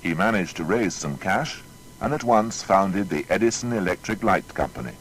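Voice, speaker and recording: A man's voice: low voice, animated, normal speed, fairly bright tone, a little hiss or hum, low-quality recording. A man narrating a scripted biography in a dramatic, rhetorical manner.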